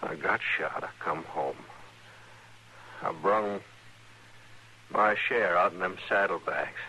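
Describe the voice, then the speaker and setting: Slow, creaky wounded outlaw. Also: male voice, low-pitched, pushed and bright, heavily acted. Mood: weak, stubborn. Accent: rural American West. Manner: strained, stubborn, plain-spoken. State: in pain, groaning. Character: wounded, stubborn outlaw cowboy come home. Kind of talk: old-time radio western acting. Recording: noisy vintage radio broadcast.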